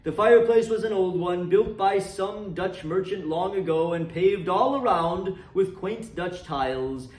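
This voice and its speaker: Echoey, higher-pitched man reading aloud. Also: darkish tone. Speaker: library volunteer reader and host. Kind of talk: dramatic storybook reading aloud. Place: indoor room decorated for Christmas, seated. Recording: roomy echo, distant microphone.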